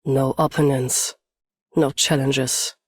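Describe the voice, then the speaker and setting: A woman's voice: rumbling low, flat delivery, slow pace, a little mellow, slightly rough, no room sound, heavily acted. A woman playing a stoic, battle-hardened champion swordswoman and bodyguard in a video game, restrained and commanding. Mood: cool, reflective. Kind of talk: scripted game character voice lines.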